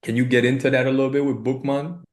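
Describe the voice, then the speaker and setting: A man's voice: medium pitch, little pitch movement, quick pace, darkish tone, roomy sound. A man from Cuba, chatting informally as a podcast co-host and often checking in as he goes. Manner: informal, often checks with "right" and "you know". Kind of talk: casual conversational interview.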